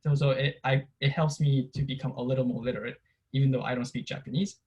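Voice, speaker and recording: A young Korean-born man presents slides over a video call in a relaxed, talky way. His delivery is halting, with many fillers and quick restarts, at a middle pitch. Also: highs cut off. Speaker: presenter teaching Korean script to engineers. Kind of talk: casual presentation talk.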